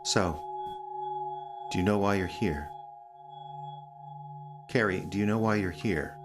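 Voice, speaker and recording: Very low-voiced, probing female interrogator. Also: over music, full character voice. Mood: cool, probing. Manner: measured, probing, insistent. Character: controlled, persistent interrogator probing a witness. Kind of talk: naturalistic audio drama acting. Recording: clear background noise.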